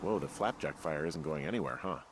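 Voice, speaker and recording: A man's voice: extremely low pitch, a little fast, background noise. A man playing an easygoing but uneasy fire lookout out hiking, in casual, dryly sarcastic, naturalistic video game dialogue. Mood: curious, wry. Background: background guitar music.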